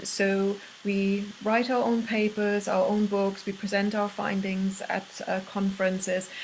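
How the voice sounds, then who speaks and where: A woman from Germany with a German accent speaks as a university lecturer, giving an informal course presentation in a halting way with frequent pauses. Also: medium pitch, a little hiss or hum, rough recording. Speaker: university art history lecturer.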